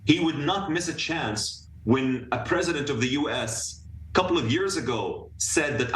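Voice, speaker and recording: A man speaking as a guest analyst in a live news interview over a remote video link, talking earnestly with many fillers and restarts, and rising in pitch when he presses a point. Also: a bit bright, a little hiss or hum. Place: room in another city. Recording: echoey remote feed from a distance.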